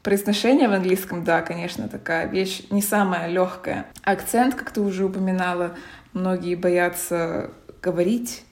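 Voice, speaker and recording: A woman from Russia with a Russian accent, co-hosting a podcast in a relaxed, reflective chat. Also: lowish voice, normal speed. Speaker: podcast co-host.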